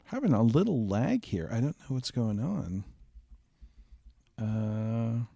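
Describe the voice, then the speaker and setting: Creaky, animated man playing a game. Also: medium pitch, slow pace, no room sound, studio quality. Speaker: show host playing a voice game. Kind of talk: casual spoken game playthrough.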